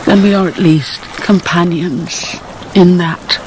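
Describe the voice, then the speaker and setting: A woman acting in a radio drama as a gentle, grieving former lover, tender and formal in manner. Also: lowish voice, fairly even intonation, slow pace, background noise. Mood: sorrowful, resigned.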